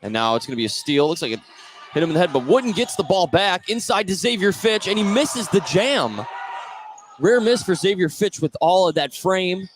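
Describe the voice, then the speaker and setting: A man's voice: high voice, lively intonation, moderate pace. A man doing live play-by-play commentary of a basketball game. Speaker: play-by-play announcer. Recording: live gym noise behind the voice. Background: gym ambience of a basketball game.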